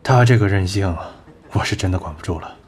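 An actor playing a blunt, proud film producer in a radio drama, curt and sardonic. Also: male voice, low-pitched, a little fast, darkish tone, lightly acted. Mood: irritated, teasing. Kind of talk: Chinese radio drama acting.

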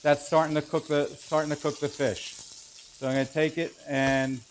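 Man, 40s, chef instructor, relaxed and explanatory, slightly higher voice, pan sizzling behind. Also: moderate pace, a little hiss or hum. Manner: relaxed, explanatory, thinking aloud while working. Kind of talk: cooking demonstration. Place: large professional teaching kitchen, indoors. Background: food sizzling in a pan.

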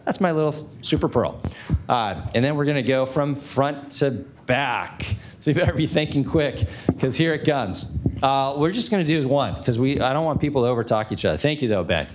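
Male moderator, 40s, energetic, handheld mic, conference room. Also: mid-range voice, a little fast, slight background noise. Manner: energetic, gestures while talking. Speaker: panel moderator. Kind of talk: conference panel talk.

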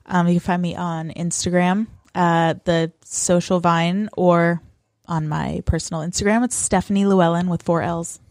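A woman's voice: on the low side, steady tone, moderate pace, darkish tone, no room sound, studio quality. A woman guesting on a podcast in casual chat, blunt and chatty, laughing easily.

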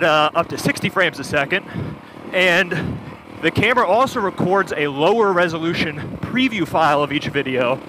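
A man riding a motorcycle, explaining gear features in detail, matter-of-fact, over a helmet intercom. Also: high-pitched. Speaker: video producer reviewing gear. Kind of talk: explanatory casual chat. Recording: intercom audio with wind and engine noise.

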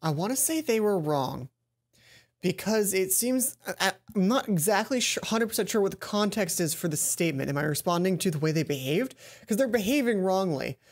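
A young man hosting a let's play, thinking aloud in an excitable, rambling way into a headset mic in a small room at home. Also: high voice, normal speed.

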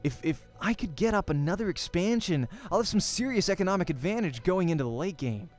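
A man's voice: high-pitched, expressive, brisk, darkish tone, soft background music. A young man hosting a let's play, reading a visual novel aloud in the first person with dramatic storytelling.

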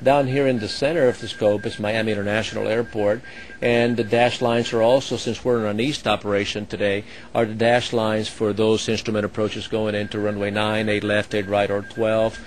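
A man who supervises air traffic controllers and flies, explaining the work in a halting way, with many fillers and restarts. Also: on the low side, flat delivery, low-quality recording. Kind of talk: explaining his work to an interviewer.

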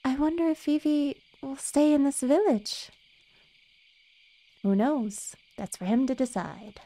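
A woman's voice: high-pitched, measured pace, mellow, pitched above the performer's own voice, low-quality recording. A woman voicing a reflective, wistful storyteller in a video game.

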